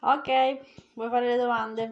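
A woman's voice: fairly high pitch, flat delivery, unhurried, muffled recording. A young adult woman, a mother chatting casually while playing a guessing game with her child. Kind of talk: casual chat. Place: indoors at a table, small room. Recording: clearly noisy home recording.

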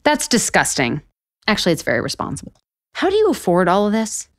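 Sarcastic, flustered author, very animated. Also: female voice, medium pitch, fairly quick, dry recording, studio quality. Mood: exasperated, incredulous. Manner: sarcastic, excitable. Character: sarcastic, flustered, easily exasperated woman author. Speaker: actor in a romantic comedy audio drama. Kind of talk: romantic comedy audio drama acting.

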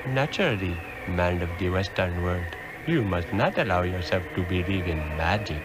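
An actor playing a wise, aged mystic master in an old radio drama, speaking solemnly and formally. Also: male voice, deep voice, moderate pace, noisy background, close to an everyday voice. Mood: knowing, calm. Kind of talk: 1960s radio drama acting. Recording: old radio drama recording under loud music.

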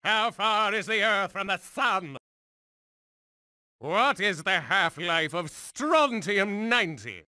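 An actor voicing a megalomaniac mutant genius supervillain in a video game, condescending, mocking and theatrical like a cruel schoolmaster. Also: male voice, high voice, big pitch swings, slow pace, sharp tone, dry recording, exaggerated voice. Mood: smug quizmaster. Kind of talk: theatrical cartoonish game voice acting.

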